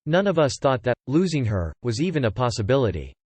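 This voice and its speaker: A man's voice: mid-range voice, very animated, brisk, darkish tone, breathy edge, dry recording. A man reading a scripted celebrity news story as a voice-over narrator. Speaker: narrator of entertainment video.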